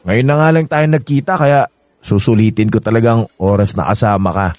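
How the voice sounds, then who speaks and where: A man's voice: lowish voice, fairly quick, darkish tone, dry recording, natural voice. Man acting a menacing, obsessive jilted lover. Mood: taunting, pleading. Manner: insistent, taunting, with mocking drawl. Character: menacing, obsessive married ex-lover of a younger woman. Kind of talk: radio drama acting.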